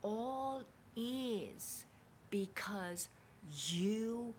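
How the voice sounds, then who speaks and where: A woman reading spiritual teachings aloud in a deliberate, emphatic way, sometimes dropping toward a breathy near-whisper. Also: on the high side, a little hiss or hum. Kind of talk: slow dramatic reading of spiritual dialogue with casual asides.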